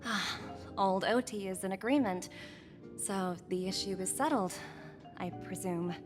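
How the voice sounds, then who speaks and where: Actress as a businesslike young negotiator woman, polite, mid-pitched, game score behind. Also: unhurried, close to an everyday voice. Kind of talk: video game voice acting. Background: game music.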